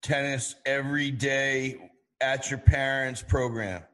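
A man hosting a conversational interview, asking questions haltingly and restarting them several times. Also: medium pitch, fairly even intonation, a bit bright. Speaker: show host, interviewer.

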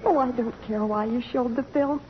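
A woman's voice: fairly high pitch, normal speed, close to an everyday voice. An actress playing a tender, sincere young college woman, polite and emotional, in a radio drama. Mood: tearful, forgiving. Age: young adult. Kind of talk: 1950s radio drama acting. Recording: muffled, noisy old radio broadcast.

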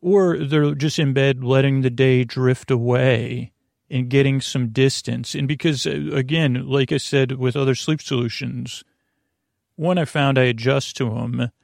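A man hosting a sleep podcast, rambling informally through an introduction in a halting, meandering way, often repeating himself and trailing off mid-sentence. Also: mid-range voice, fairly even intonation, vocal fry, darkish tone, no echo, studio quality. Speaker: podcast host.

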